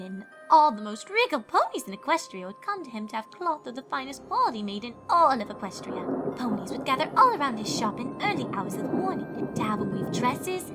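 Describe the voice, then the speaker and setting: Casting: woman as genteel cartoon unicorn lady, highish and very animated, dramatic storyteller, music behind. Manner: theatrical, genteel, calls others darling. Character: dramatic, refined female unicorn fashionista telling a spooky tale. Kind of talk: character voice in a fan fiction reading.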